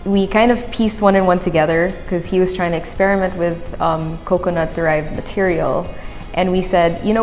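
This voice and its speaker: Filipino woman, kinda low voice, lots of ums, echoey hall sound. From Philippines. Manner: hesitant, many fillers. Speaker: company director. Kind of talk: documentary interview. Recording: long room reverb with soft music.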